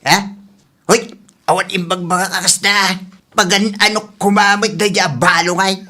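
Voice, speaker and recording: Sharp, bright-voiced old widower played by a man. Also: high-pitched, fairly even intonation, unhurried. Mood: evasive, grumbling. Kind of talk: radio comedy drama acting.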